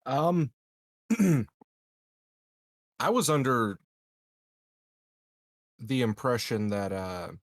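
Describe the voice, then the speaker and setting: Slow-talking hesitant male player. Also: medium pitch, big pitch swings, no room sound. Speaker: player in a tabletop game. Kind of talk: casual chat.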